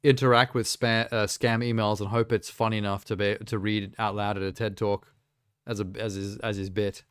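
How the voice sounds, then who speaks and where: A man's voice: fairly low pitch, little pitch movement, normal speed, fairly bright tone, clear, resonant tone, dry recording. A man from South Africa giving casual, off-the-cuff reactions as a live streamer, with wry, mocking asides.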